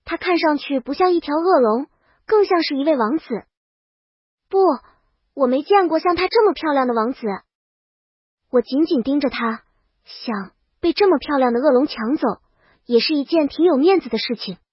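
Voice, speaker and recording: A woman's voice: high-pitched, lively intonation, moderate pace, dry recording, highs cut off. A woman narrating a comedic story in the first person, in storybook style. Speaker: audiobook narrator.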